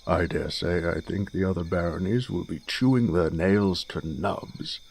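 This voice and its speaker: A man's voice: deep voice, a little slow, gravelly, darkish tone, breathy edge, heavily acted. A man playing a genial, dignified older noble father in a fantasy audio drama, speaking in a stately, avuncular manner. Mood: proud, jovial.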